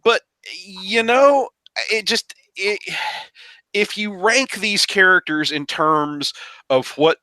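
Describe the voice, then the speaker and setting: A man on a podcast panel discussing films casually, haltingly, repeating phrases as he thinks. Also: high-pitched, pushed and bright, dry recording.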